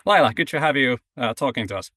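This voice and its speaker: A man hosting a business interview, asking friendly, measured questions. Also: medium pitch, animated, bright, forceful tone, dry recording, clean studio sound. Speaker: interviewer host.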